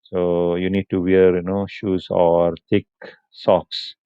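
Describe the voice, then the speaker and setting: A man hosts a live stream, speaking in an unhurried, chatty way and laughing now and then. His low voice is smooth and clear. The sound is dry and a little muffled. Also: completely flat delivery, no echo. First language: Punjabi. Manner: unhurried, chatty, answers comments, laughs often. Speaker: livestream host. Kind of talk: casual live stream chat with viewers.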